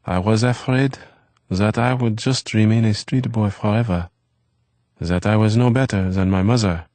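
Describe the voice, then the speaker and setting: A man voicing a gentle, wistful young man who grew up on the streets, speaking in soft, formal phrases. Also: lowish voice, normal speed, dry recording, pitched above the performer's own voice, close to an everyday voice. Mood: earnest.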